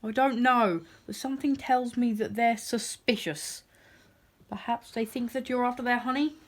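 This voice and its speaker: Woman voicing an earnest, high-voiced little toy bear. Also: steady tone, normal speed, lightly acted. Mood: anxious, suspicious. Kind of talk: children's storybook character reading.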